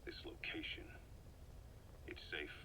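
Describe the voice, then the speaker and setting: A man's voice: slow pace, whispered, natural voice, poor audio. An actor playing a wary, reluctantly loyal male secret agent in a video game, terse and questioning. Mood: guarded, questioning. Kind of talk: video game voice acting, recorded-message drama.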